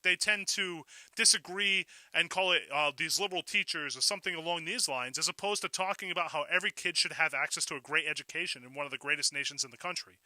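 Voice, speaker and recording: A man's voice: on the high side, fast talker, hardly any pauses, sharp tone, dry recording, poor audio. An American man hosting a radio call-in show, giving an opinionated monologue with emphatic delivery and rhetorical questions. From Fall River, Massachusetts, United States.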